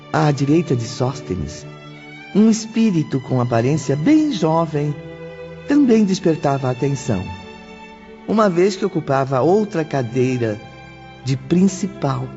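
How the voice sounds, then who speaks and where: Slow, expressive male audiobook narrator. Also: a little high. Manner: formal, expressive. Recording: dull lo-fi audio under music.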